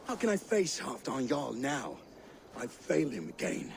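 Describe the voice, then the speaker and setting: An actor playing a doubtful, loyal Danish warrior in a game cutscene, blunt and gravelly. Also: male voice, mid-range voice, wildly swooping pitch, normal speed, a little hiss or hum. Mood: dejected. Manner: blunt, sceptical. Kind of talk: video game cutscene acting.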